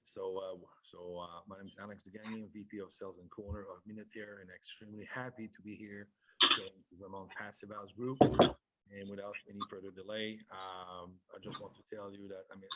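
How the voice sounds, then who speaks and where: A man's voice: on the low side, noisy background. A man from Quebec with a French Canadian accent, giving a webinar sales presentation on a headset, hesitant with many fillers. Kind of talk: casual presentation.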